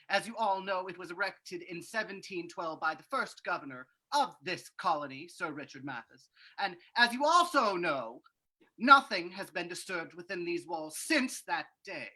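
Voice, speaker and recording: Woman as a husky, animated town meeting leader. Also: fairly low pitch. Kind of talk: old-time radio drama reading.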